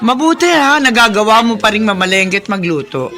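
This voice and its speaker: A woman's voice: medium pitch, lively intonation, brisk, over music, lightly acted. A woman acting as an older neighbor in a radio drama, gossipy and teasing.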